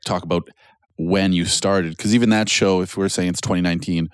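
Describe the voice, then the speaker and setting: A man hosting a casual podcast interview speaks in a very deep voice. He mostly answers with short "right"s and "yeah"s, then steps in with curious follow-up questions. The sound is dry and close. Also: fairly even intonation, brisk, clean tone, no echo. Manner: brief affirmations, probing follow-up questions. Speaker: podcast host.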